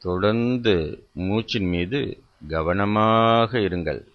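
Slow, low-voiced man guiding meditation. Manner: measured, instructional, repeats phrases with pauses. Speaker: meditation guide. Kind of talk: guided meditation instruction. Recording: dull, muffled lo-fi sound.

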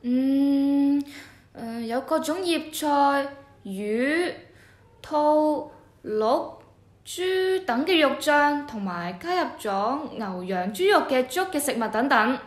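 An eager junior rites official acted by a woman talks in a high voice with a Hong Kong Cantonese accent. The delivery is earnest and deferential scripted radio drama, with a noticeably roomy echo. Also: expressive, slow pace. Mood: studious. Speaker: voice actor.

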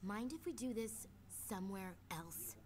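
A woman's voice: medium pitch, lively intonation, unhurried, darkish tone, a little hiss or hum, lightly acted. An actress playing a hot-headed young female assassin who talks in an intense, clipped way and swears, in game audio. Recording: game audio under music.

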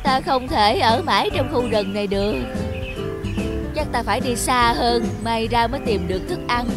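A woman's voice: on the high side, animated, moderate pace, over loud music, lightly acted. A woman voicing a hungry, scheming, impatient cartoon fox with a menacing, gloating manner. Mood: hungry, grumbling. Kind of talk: animated cartoon dialogue.

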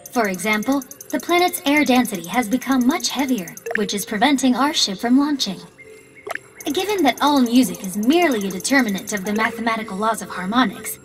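A woman's voice: fairly high pitch, lightly acted. A woman voicing a holographic ship computer in a video game, speaking in a precise, formal, composed and literal way. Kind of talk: video game cutscene acting. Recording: faint game music behind.

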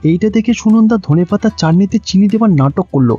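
A man's voice: high-pitched, lively intonation, fast, warm tone. A man with a Bengali accent reading scripted narration for a cartoon story. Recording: dull, lo-fi audio with music behind.

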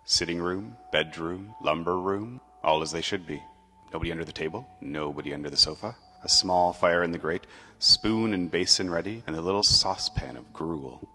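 A man reads a classic tale as a dramatic narrator. His voice is very low yet forceful, measured and emphatic. It rings in a long reverb. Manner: theatrical, measured, emphatic storytelling. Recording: long reverb, music underneath.

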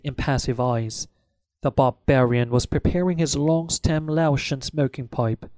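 A man's voice: medium pitch, a little mellow, no room sound. A man reading first-person fiction as an audiobook narrator, in a scripted storytelling style.

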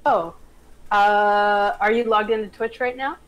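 A woman's voice: mid-range voice, fairly even intonation, measured pace, dull audio. A woman talking casually in an online group voice chat. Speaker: table read group member. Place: online voice call. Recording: faint noise, online voice chat.

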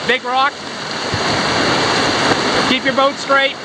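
A canoe trip leader calling brisk, encouraging paddling commands from a canoe on a river. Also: sits high, monotone, very noisy. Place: outdoors in a canoe on river rapids. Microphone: action camera on the canoe. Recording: loud roar of rushing rapids.

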